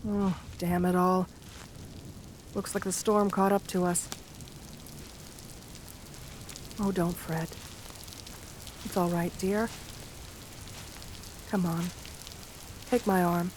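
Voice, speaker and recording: A woman voicing a shy, lonely, romantic gargoyle girl in a gentle ASMR roleplay, speaking tenderly with formal, bookish wording. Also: lowish voice, little pitch movement, slow pace, long pauses, a little hiss or hum. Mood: reassuring, playful. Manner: tender, soft-spoken, formal old-fashioned wording.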